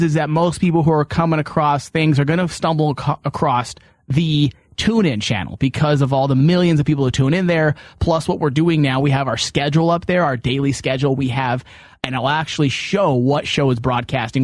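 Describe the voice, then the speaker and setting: A male podcast host with a medium-pitched voice chats casually and off the cuff. He rambles conversationally in a close, dry recording. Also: dry recording. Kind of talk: casual, unscripted show chat.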